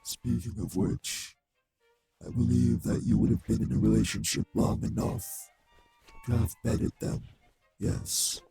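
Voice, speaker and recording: A man playing a cruel, taunting male vampire in an audio drama, with a husky, gravelly voice and a slow, mockingly polite manner. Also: lowish voice, fairly even intonation, warm tone, faint music behind, heavily acted. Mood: smug taunting. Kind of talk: scripted audio roleplay drama.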